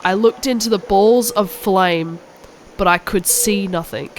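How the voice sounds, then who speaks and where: A woman's voice: medium pitch, measured pace, lightly acted, rough recording. A woman playing a moody, melodramatic teenage goth girl narrating a parody cartoon. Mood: gloomy. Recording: clearly audible background noise.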